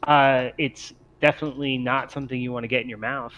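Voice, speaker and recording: A man acting as game master, improvising narration and talking through rules in a hesitant, deliberate way. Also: mid-range voice, fairly even intonation, a bit bright. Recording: clear background noise.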